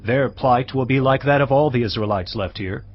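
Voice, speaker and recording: An actor playing a grim royal officer in a dramatised audio Bible, speaking earnestly and emphatically. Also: male voice, mid-range voice, normal speed, lightly acted. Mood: grim urging. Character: cautious, grim royal officer advising his king. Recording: dull, muffled audio over music.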